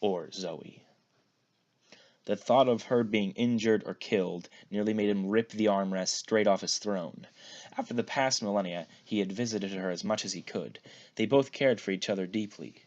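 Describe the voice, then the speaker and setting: Man, fairly low voice, fan fiction reader, expressive story narration. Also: moderate pace, highs cut off.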